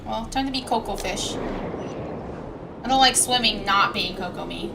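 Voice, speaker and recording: A young woman chatting casually and playfully as she streams a video game, with expressive reactions. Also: mid-range voice, measured pace, vocal fry, background noise. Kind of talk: casual livestream gameplay chat. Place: indoor livestream with game music behind.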